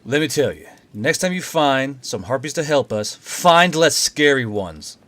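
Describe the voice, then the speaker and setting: Narrator guy doing a big blunt adventurer dude from a fantasy audiobook, wary and animated. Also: medium pitch, unhurried. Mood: alarmed. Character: tall, gruff but wary male adventurer.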